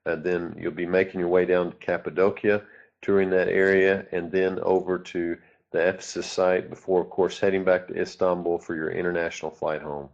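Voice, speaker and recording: A man narrating a tour itinerary, with frequent "uh" fillers between phrases. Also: deep voice. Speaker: tour presenter. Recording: dull lo-fi recording.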